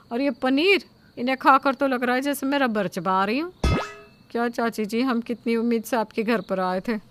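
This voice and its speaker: Entitled cartoon guest played by a woman, slightly high voice, critical and disdainful. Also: lightly acted. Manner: complaining, disdainful. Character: fussy female houseguest, critical and entitled. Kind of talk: Hindi cartoon story acting.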